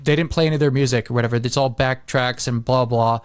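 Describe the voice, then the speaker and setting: A man's voice: mid-range voice, steady tone, fast, clear, resonant tone, no echo, clean studio sound. A middle-aged man chatting casually on a podcast in a rambling, blunt way, with some swearing. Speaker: podcast host. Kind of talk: casual chat.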